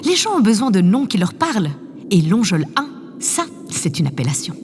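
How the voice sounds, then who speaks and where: A woman's voice: medium pitch, sing-song, moderate pace, a little mellow. A woman playing a self-assured, effusive spaceship president in an audio drama, gracious and grand as she coaxes. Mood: self-satisfied. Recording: reverberant room, faint background noise.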